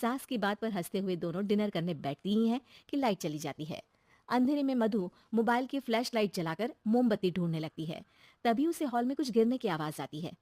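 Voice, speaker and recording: Lady narrator for kids story, medium pitch, scripted read, some noise behind. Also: animated, fairly quick, dark tone.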